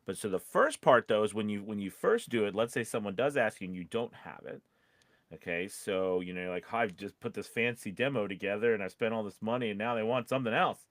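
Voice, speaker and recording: A man co-hosting a podcast, giving casual advice in a halting, rambling way, often restarting his sentences. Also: lowish voice, animated, no room sound. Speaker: podcast co-host and voice actor.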